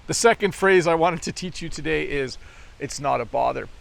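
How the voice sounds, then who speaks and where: A middle-aged Canadian man with a Canadian accent explains English phrases in an easygoing, friendly way, dropping in casual fillers. His voice sits a little high and has a gravelly edge. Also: normal speed, fairly bright tone. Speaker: English teacher. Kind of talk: English lesson.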